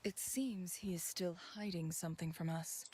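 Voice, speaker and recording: A woman voicing a calm noble lady investigator. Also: fairly low pitch, a little hiss or hum, lightly acted. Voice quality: calm, measured. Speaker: game character. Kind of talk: game voice acting.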